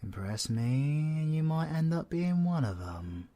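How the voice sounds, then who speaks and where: A British man playing a cocky, menacing, flirtatious supervillain in an audio roleplay, husky and sardonic, often dropping to breathy whispers. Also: on the high side, very animated, measured pace, dark tone. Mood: exasperated, scheming. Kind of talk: scripted audio roleplay, ASMR drama.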